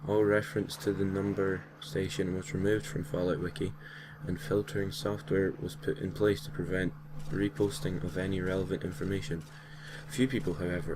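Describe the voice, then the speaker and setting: A man with a deep voice reads a creepy tale in an amateur narration style. His delivery stays flat, with the odd stumble and self-correction. The home recording has clear noise behind him. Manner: stumbles over words and corrects himself. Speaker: host reading creepypasta stories. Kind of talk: reading a creepy story aloud, amateur narration.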